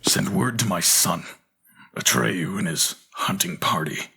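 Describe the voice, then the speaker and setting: An actor playing a stern, commanding clan leader and father in a fantasy audio drama, with a gravelly, raspy voice and grave manner. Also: low voice, expressive, a little slow, bright, forceful tone, slight background noise, exaggerated voice. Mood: grave, resolute.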